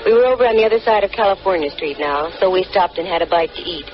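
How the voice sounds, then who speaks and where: An actress playing a brisk, arch, self-assured female private eye in a vintage radio detective drama. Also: fairly low pitch, expressive, close to an everyday voice. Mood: wry narration. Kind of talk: 1940s-50s radio detective drama, acting and narrating. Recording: muffled, noisy old radio recording.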